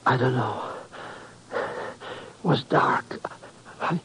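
An actor playing a self-pitying wounded rogue, halting and melodramatic, in a 1950s radio drama. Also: male voice, medium pitch, expressive, bright, forceful tone, exaggerated voice. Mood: weak, plaintive. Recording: noisy old radio broadcast recording.

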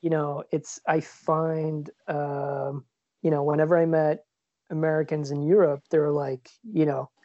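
A man's voice: on the high side, little pitch movement, measured pace, no echo, lo-fi sound. A man from Switzerland chatting casually as a podcast co-host, rambling with lots of fillers and restarts. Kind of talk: casual chat. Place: lives in New York.

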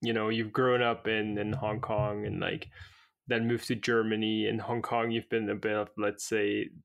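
A man's voice: on the low side, little pitch movement, normal speed, creaky, a bit bright, lo-fi sound. A man interviewing a guest as a podcast host, in a casual conversational style.

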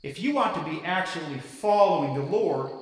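Guy doing an insistent preacher man, highish voice, big questions, super echoey and far from the mic. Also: lively intonation, moderate pace, a little hiss or hum, close to an everyday voice. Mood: fervent. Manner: emphatic, rhetorical questioning. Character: fervent, insistent male church camp preacher. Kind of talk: sermon. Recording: echoey hall, distant from the mic.

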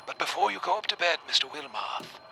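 A man playing a secretive, courteous gentleman in a radio drama, giving instructions in a breathy half-whisper. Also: a little high, very animated, a little fast, slight background noise, heavily acted, poor audio. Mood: calm, controlling.

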